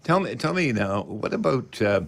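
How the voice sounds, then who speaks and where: An older man with a Canadian accent hosting a casual radio talk show in a folksy, halting way. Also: medium pitch, sing-song.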